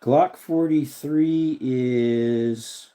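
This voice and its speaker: A man hosting a casual live stream, answering viewer comments and thinking aloud as he looks things up. His voice is slightly raspy. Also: medium pitch, drawn-out words, dark tone. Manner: rambling, thinks aloud while looking things up.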